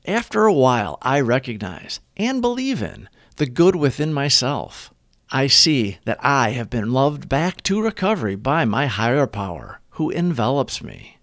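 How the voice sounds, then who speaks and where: Male devotional reader, deliberate phrasing, animated pitch movement, very dry close sound. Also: no room sound. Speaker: host of recovery readings. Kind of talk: scripted devotional reading.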